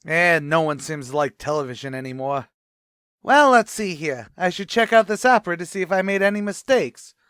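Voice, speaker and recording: A brash, fast-mouthed tavern manager, played by a man in a radio comedy, with a high, bright voice for a man. He talks in cocky, ungrammatical tough-guy patter. The sound is dry and close, with no room around it. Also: lively intonation, smooth, dry recording. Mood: wisecracking. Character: wisecracking middle-aged male tavern manager. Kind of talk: old-time radio comedy recreation.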